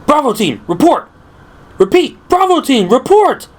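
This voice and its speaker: A man's voice: big pitch swings, unhurried, full character voice. A man voicing an agitated male radio operator in an audio drama, urgently calling out over a headset. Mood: alarmed, urgent. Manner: urgent, high, raised voice.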